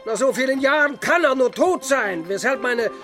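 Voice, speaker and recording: A high-pitched, ranting old peasant father. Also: expressive, moderate pace, over music. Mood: aggrieved, lamenting. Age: older. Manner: ranting, scolding. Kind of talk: German radio play acting.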